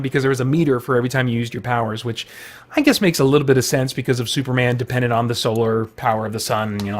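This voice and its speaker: A middle-aged man hosting a video with casual, rambling, enthusiastic chat full of loose asides. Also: medium pitch. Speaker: video host.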